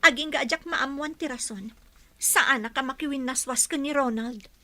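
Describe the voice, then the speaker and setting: A woman plays a young daughter in a radio drama, pleading and insistent yet defiant. Her voice is high and bright, recorded close and dry. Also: normal speed, no room sound. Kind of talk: Ilocano radio drama acting.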